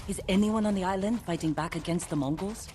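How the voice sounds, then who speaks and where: A woman's voice: medium pitch, fast talker, a little mellow, close to an everyday voice. Actress as a practical, wary woman fighter, noisy background. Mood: wary, businesslike. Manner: plain, direct, questioning. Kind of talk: video game voice acting.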